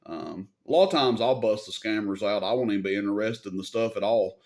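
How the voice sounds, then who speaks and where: A man's voice: on the low side, fairly quick, a little mellow. Plain-spoken American man talking casually to viewers. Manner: plain-spoken, folksy, mildly salty. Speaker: video host and CB radio seller. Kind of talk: unscripted casual advice and storytelling to viewers.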